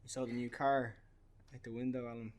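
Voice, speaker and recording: An actor with an Irish accent playing a grieving, bitter young father in a naturalistic radio play, halting and sweary. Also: medium pitch, level tone, a little mellow, lightly acted. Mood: cold, accusing. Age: young adult.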